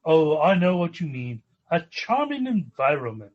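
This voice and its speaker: A man's voice: a little high, sing-song, moderate pace, highs cut off. A man playing a polite, stuffy, well-to-do young businessman suitor in a 1940s-style radio comedy. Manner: genteel, hesitant.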